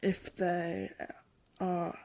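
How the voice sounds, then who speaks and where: Casting: woman as wry, curious traveller keeping an audio diary; husky, mellow, unhurried, informal and hesitant. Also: on the low side, level tone, no room sound. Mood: fond, curious. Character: chatty, curious female traveller journaling a strange new world. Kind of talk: audio drama diary monologue.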